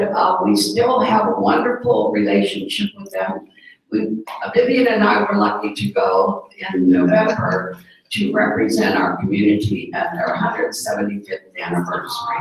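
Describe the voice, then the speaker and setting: A religious sister hosting a gathering, inviting guests to share stories in a chatty way with filler words. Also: female voice, mid-range voice, vocal fry, a little hiss or hum. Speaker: host, sister of the religious community. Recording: echoey room, mic far off.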